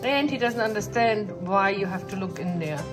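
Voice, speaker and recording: A woman's voice: mid-range voice, moderate pace, music in the background. A woman talking casually to her dogs at home in doting baby talk, repeating her phrases, recorded on a handheld phone. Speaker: pet owner narrating home video. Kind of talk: casual pet-directed chatter. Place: indoors at home, hallway. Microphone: handheld phone camera, speaker behind it.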